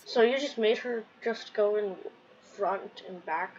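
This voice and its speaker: A woman's voice: medium pitch, unhurried, darkish tone. A woman giving casual commentary while playing a game, thinking aloud in a hesitant way. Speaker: gamer streaming a playthrough. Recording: dull lo-fi mic, faint noise.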